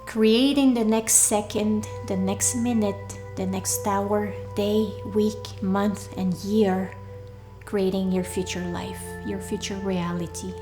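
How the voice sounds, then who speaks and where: A woman leading a guided meditation in a soothing, deliberate way, leaving pauses between short phrases. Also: medium pitch, little pitch movement, rough recording. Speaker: meditation guide, workshop leader. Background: soft background music.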